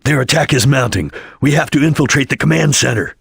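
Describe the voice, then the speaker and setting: Husky, solemn ninja chameleon. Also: male voice, medium pitch, expressive, fairly quick, fairly bright tone, dry recording, clean studio sound, full character voice. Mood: grave. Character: serious, disciplined male ninja chameleon.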